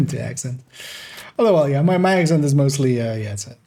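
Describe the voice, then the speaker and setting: A man from Iran with an accent that mixes Iranian, American and Dutch English, giving relaxed, rambling gameplay commentary as a streamer. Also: medium pitch, fairly quick. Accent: Iranian mixed with American and Dutch English. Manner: relaxed, rambling explanations with little laughs.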